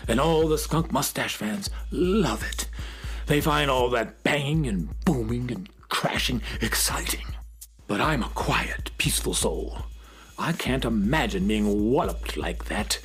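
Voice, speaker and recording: A gentle music-teacher drum from a children's radio play, acted by a man. The delivery is earnest and fretful, with a widely varied melody and a plaintive edge. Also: mid-range voice, animated, a little slow. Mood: wistful.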